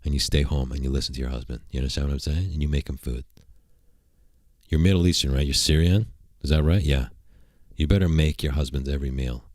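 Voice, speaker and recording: Very deep-voiced man streaming, crude jokes. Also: fast talker, a little mellow, dry recording, clean studio sound. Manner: crude, provocative joking, rambling. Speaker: streamer and channel host. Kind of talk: casual livestream chat. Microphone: desk mic at a computer.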